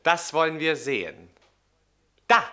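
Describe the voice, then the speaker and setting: An actor playing an arrogant, cowardly young Roman gentleman with a haughty, theatrical manner in a German radio drama. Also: fairly high pitch, big pitch swings, takes it slowly, noisy background. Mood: sneering, haughty. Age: young adult.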